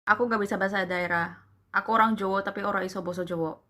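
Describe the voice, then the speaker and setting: A woman's voice: medium pitch, fairly even intonation, quick pace, bright, forceful tone, slight background noise. A young woman language tutor talking informally, full of casual fillers as she explains her view. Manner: informal, many fillers like gitu and eh. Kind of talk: casual chat.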